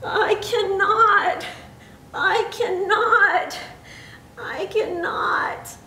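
A woman putting on a very slow, weary old train engine. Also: very high-pitched, steady tone, pushed and bright, pitched above the performer's own voice, full character voice. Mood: weary, defeated. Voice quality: drawn-out, sighing delivery. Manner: weary, repeating refusals slowly. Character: weary, rusty old male train engine, sighing and defeated. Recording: echoey room with faint noise.

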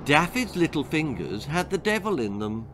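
A man's voice: fairly high pitch, sing-song, normal speed, a bit bright, slight background noise. A man in his sixties reading a grisly comic children's story theatrically, with emphatic, relishing articulation. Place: small indoor room lined with books and records.

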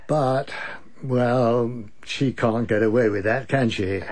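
An older man with an upper-class English accent playing a gruff, jovial husband in a radio drama, clubby and blustering. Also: medium pitch, slow, a little mellow. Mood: wry, weary. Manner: clubby, blustering, old-fashioned slang.

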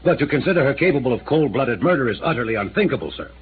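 An actor playing a pompous, stiff town mayor. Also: male voice, mid-range voice, big pitch swings, very fast, natural voice. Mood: formal. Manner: stiff, formal, circumlocutory. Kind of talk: 1950s radio drama acting. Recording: tinny old radio broadcast with hiss.

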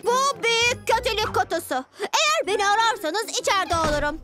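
An actor voicing a theatrical, mocking boy mad scientist in a dubbed cartoon, with loud music underneath. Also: very high voice, expressive, brisk. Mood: smug, mocking. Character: gloating boy mad-scientist villain with evil inventions. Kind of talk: animated cartoon dubbing.

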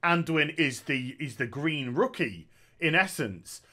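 Male commentator in his thirties, sarcastic and opinionated, bright and slightly high-pitched voice, speaking on a headset at home. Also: expressive, slow pace. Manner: sarcastic, opinionated, jokey asides. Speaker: gaming commentator. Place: small room at home.

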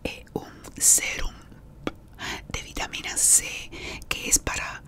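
A woman's voice: slow, pushed and bright. A woman whispering in an ASMR roleplay as a beautician, gossiping while she treats a client. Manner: gossipy whispering, talking to an unseen caller and a client.

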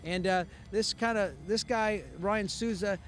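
A middle-aged man hosting a post-game football show outdoors at night, speaking into a handheld mic with brisk, upbeat commentary. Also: sits high, steady tone. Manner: brisk, upbeat play-by-play delivery. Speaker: sportscaster host.

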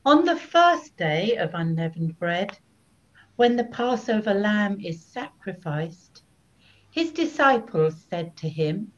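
Slightly dull recording, older woman, solemn scripture narrator, slow, lively intonation. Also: medium pitch. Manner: deliberate, expressive. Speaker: narrator (evangelist). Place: small room at home.

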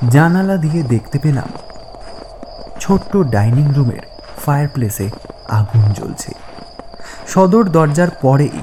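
Slow, mellow male horror narrator. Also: mid-range voice, a little hiss or hum. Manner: deliberate, pausing between short phrases. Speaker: story narrator. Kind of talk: scripted horror story narration.

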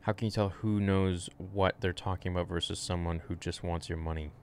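A man's voice: low voice, fairly even intonation, brisk, darkish tone, slight background noise. A young man casually answering fitness questions on a home livestream, rambling, frank and swearing freely, recorded by a desk mic in a small room. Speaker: fitness streamer.

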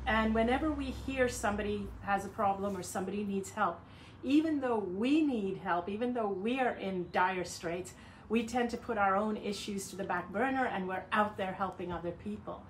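An older woman from Hong Kong talking earnestly to camera in her sunny backyard garden, gesturing as she speaks. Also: medium pitch. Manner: warm, earnest, gestures a lot with her hands. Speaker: self-help speaker. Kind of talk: casual talk to camera. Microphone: phone camera at a few feet. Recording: distant outdoor mic with ambient noise.

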